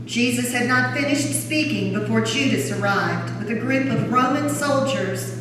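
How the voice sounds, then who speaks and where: A woman with a slightly high voice gives solemn, measured scripture narration. She is heard at a distance in a very echoey church, with clear background noise. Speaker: narrator of a Passion Gospel reading. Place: large church during a service.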